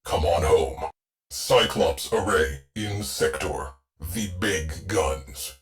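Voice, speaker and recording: A deep-voiced, stoic artificial mind runs a warship in a video game. It issues slow, clipped tactical orders with commanding authority and bone-dry, deadpan wit. Faint music sits behind the voice. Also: male voice. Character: terse, stoic ship AI, male military tactician with dry wit. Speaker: ship AI mission announcer. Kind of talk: scripted video game mission briefing.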